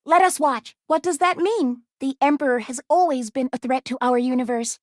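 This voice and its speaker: A woman's voice: sits high, moderate pace, no room sound, studio quality, close to an everyday voice. An actress voicing a timid, earnest, bookish young female robot in a video game, with polite, formal and precise diction. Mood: curious, matter-of-fact. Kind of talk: video game character voice lines.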